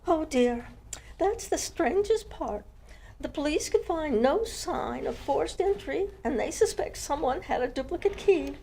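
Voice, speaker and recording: A woman's voice: high voice, animated, unhurried, gravelly, dark tone, heavily acted. A woman acting a flustered, aloof gallery owner in an old-time radio mystery. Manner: fluttery, confused, haughty. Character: flustered, aloof wife who owns an art gallery. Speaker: amateur radio club performer.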